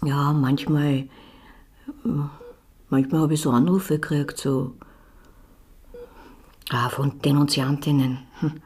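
An actress in a radio drama playing a troubled, devoted wife and mother under questioning, with an Austrian accent and a breaking, halting delivery. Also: sits low, flat delivery, darkish tone, slightly rough, heavily acted. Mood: halting, guarded. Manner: halting, repetitive, emotionally fragile. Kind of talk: naturalistic radio drama acting.

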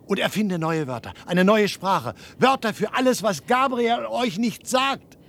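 A man's voice: high-pitched, expressive, measured pace, fairly bright tone, heavily acted. An actor playing an earnest, desperate younger man in a radio play, urgent and imploring, pleading with his father. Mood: earnest, insistent. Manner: urgent, imploring, repeats phrases. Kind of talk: German radio play acting. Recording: noisy old radio play with crowd.

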